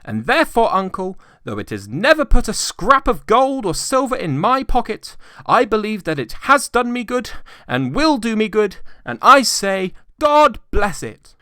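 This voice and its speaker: A man voicing a cheerful, earnest, warm-hearted young gentleman in a storybook reading. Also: high voice, sing-song, a little slow, smooth, dry recording, clean studio sound, voice pushed up, full character voice. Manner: bright, eager, heartfelt.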